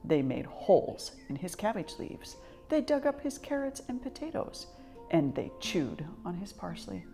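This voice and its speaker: A woman reading a children's picture book aloud in an expressive scripted storytelling style. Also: lowish voice, a little slow, creaky, soft background music. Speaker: storybook reader.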